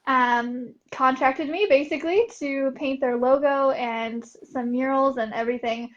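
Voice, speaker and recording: A woman's voice: high voice, unhurried. A young woman artist, a guest in a casual interview, talking upbeat with frequent fillers and laughs. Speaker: artist and art business coach, guest. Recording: dull lo-fi audio.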